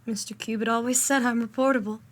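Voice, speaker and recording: A woman's voice: on the high side, fairly even intonation, quick pace, natural voice. An actress playing an anxious, impatient female client searching for a missing man in a noir skit. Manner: pleading, impatient.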